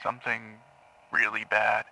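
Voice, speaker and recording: An actor playing a nervous, troubled man who speaks haltingly and apologetically in an audio drama. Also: on the low side, completely flat delivery, vocal fry, sharp tone, heavily acted, rough recording. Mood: guarded, uneasy. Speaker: actor playing a therapy patient.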